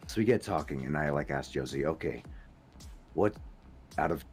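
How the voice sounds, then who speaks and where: A man's voice: extremely low pitch, lively intonation, moderate pace, a little mellow, faint music behind. A man with a Scottish accent hosting a casual chat podcast, who slips into accents as he talks. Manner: slips into accents while talking. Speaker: podcast host.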